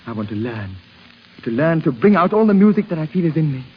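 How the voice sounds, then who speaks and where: An actor playing a sensitive young grandson in a 1950s radio drama, speaking earnestly and hesitantly, full of emotion. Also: male voice, on the high side, very animated, fast, breathy edge. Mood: passionate, yearning. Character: sensitive, yearning young musician grandson, shy but passionate. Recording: muffled, hissy 1950s radio recording.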